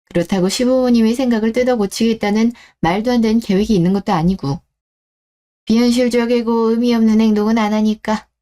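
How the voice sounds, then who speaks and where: A woman's voice: fairly high pitch, brisk, mellow, lightly acted. A woman playing a level-headed young bride-to-be in a scripted story-radio drama, composed, direct and matter-of-fact. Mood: earnest, firm.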